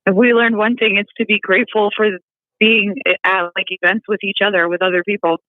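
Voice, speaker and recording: A woman, a model, who is a guest on a live interview and is upbeat and quick to laugh. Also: medium pitch, level tone, dry recording. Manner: upbeat, giggly. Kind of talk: phone interview. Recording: tinny phone line.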